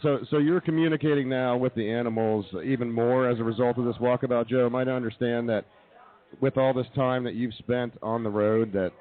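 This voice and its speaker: A man's voice: mid-range voice, steady tone, brisk, phone-quality sound. A man hosting a comedy radio show with casual banter, heard through thin, band-limited audio.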